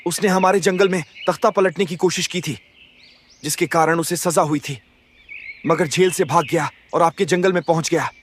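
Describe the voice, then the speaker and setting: A man voicing a loyal young wolf commander in an animated fable, respectful and eager in manner. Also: fairly high pitch, moderate pace, a little hiss or hum, natural voice. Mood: indignant. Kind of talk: Hindi animated moral story dialogue.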